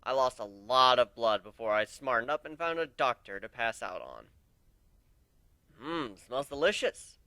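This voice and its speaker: A man's voice: medium pitch, expressive, normal speed, few pauses, sharp tone, clean tone, dry recording. A man narrating a first-person story as a dramatic reading.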